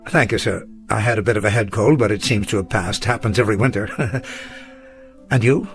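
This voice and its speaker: An actor playing a kindly, proud elderly schoolteacher in a radio drama, speaking in a genteel, hesitant, rambling old-fashioned way. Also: male voice, lowish voice, a little fast, faint music behind, close to an everyday voice. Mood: genial, polite.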